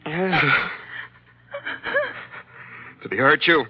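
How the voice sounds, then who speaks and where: An actor playing a big, tough ship's skipper in a terse, commanding, old-time radio adventure style. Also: male voice, on the high side, extremely slow, noisy background. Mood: gruff, curt. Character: big, tough, hard-boiled male ship's skipper, narrating his adventures. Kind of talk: 1940s-50s radio adventure acting, clipped first-person narration. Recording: dull old radio recording, loud music behind.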